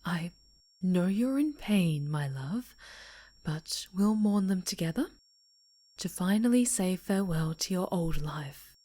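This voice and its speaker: A woman playing an obsessive, possessive dark-winged superhero villainess in an ASMR roleplay, with a breathy, whispery voice and menacingly tender delivery. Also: lowish voice, lively intonation. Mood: sinister tenderness. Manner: slow, deliberate, pleading possessiveness. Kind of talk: ASMR roleplay monologue, theatrical voice acting.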